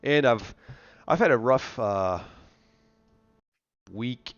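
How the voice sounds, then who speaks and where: A man's voice: mid-range voice, lively intonation, drawn-out words. A congested, nasal man with a worn voice, chatting and telling stories casually as the host of a live car show. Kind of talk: casual chat and storytelling. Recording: dull, lo-fi stream audio.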